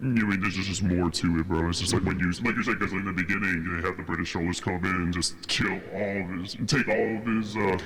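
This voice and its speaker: Man, low register, slangy casual podcast talk, swears freely, long reverb on the voice. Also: steady tone, quick pace, hardly any pauses, fairly bright tone. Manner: slangy and profane, frequent "dude" and "bro". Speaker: podcast speaker. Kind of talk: casual chat. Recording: reverberant hall-like echo.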